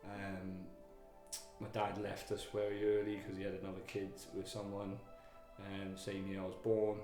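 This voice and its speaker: Male interview guest, very low and gravelly, hesitant delivery, roomy echo with music under. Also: completely flat delivery, mellow. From Wales. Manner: halting, many fillers and restarts. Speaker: guest, army veteran. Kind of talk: candid personal interview. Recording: echoey room with music behind.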